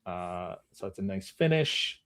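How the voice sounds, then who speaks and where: A man's voice: low voice, lively intonation, a little slow, fairly bright tone, dry recording. A man hosting a movie trivia game in casual chat, often breaking off and restarting his sentences as he goes.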